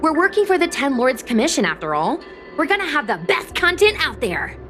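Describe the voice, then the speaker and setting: A woman's voice: high-pitched, very animated, brisk, fairly bright tone, faint music behind. A woman voicing a chatty, confident young girl influencer in an anime game, breezy and persuasive. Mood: boastful, persuasive. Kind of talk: anime game dialogue acting.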